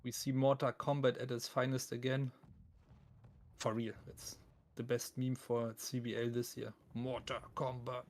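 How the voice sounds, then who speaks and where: A man's voice: medium pitch, flat delivery, unhurried, a little mellow. A man with a thick German accent doing live esports commentary on a game, casual, joking and self-deprecating as he talks to his viewers.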